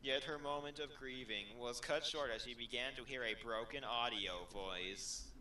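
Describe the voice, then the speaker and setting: A man's voice: medium pitch, moderate pace, pushed and bright. A man co-hosting a fan fiction read-aloud, switching between story narration and casual banter. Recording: distant from the mic.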